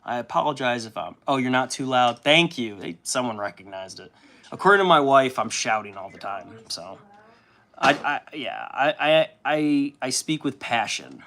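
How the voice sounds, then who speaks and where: A young man hosting a casual livestream while painting miniatures, chatty and at times loud and impassioned. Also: medium pitch, sing-song, normal speed, a little hiss or hum.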